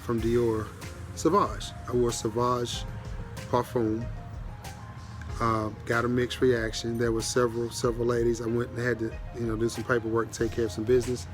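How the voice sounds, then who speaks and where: A man's voice: mid-range voice, moderate pace, over music. A man, congested and stuffy-nosed from a sinus infection, casually updating his viewers with lots of ums. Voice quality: stuffy nasal voice. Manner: relaxed, rambling, with many ums and restarts. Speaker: fragrance reviewer, channel host.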